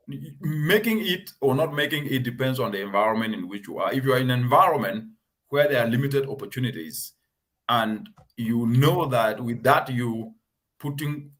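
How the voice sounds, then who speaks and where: A man hosting a talk show, speaking in an earnest, emphatic and measured way. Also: medium pitch, fairly bright tone. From Cameroon. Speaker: host.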